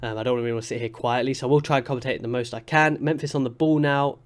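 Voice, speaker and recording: A young man with a congested, sniffly voice from a cold, chatting through a football management game with excitable play-by-play commentary. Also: mid-range voice, rapid-fire. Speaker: gaming YouTuber.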